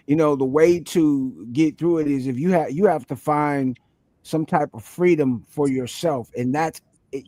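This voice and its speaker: A man's voice: on the high side, darkish tone, no echo. A middle-aged man talking casually and from the heart as a panel guest, slangy and emphatic, repeating his phrases. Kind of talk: casual, heartfelt interview talk.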